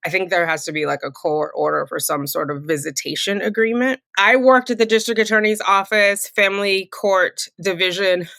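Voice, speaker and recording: A woman's voice: medium pitch, moderate pace, creaky, hardly any pauses, sharp tone, dry recording, clean studio sound. A middle-aged woman from California giving casual, opinionated commentary in a blunt, chiding, colloquial way. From Fresno, California. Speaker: commentary channel host.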